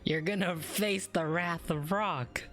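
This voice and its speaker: A woman's voice: lowish voice, slow pace, fairly bright tone. A woman giving casual reactions as a streamer playing through a game. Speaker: gaming streamer. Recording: game music behind the voice.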